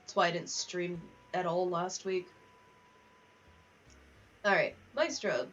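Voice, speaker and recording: A woman's voice: lowish voice, fairly even intonation, slow, fairly bright tone. A young woman chatting casually on a gaming livestream, rambling from one aside to the next. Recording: distant, slightly muffled mic.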